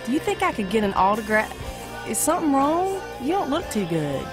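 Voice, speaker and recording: A woman's voice: on the high side, big pitch swings, a little fast. A woman playing a brassy, folksy small-town diner waitress in an amateur audio play, with blunt, informal talk. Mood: chatty, folksy. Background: music.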